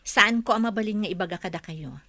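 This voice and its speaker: A woman's voice: mid-range voice, fast talker, pushed and bright, no room sound, clean studio sound, natural voice. A woman acting as a wary young wife in an audio drama, asking pointed, quizzing questions.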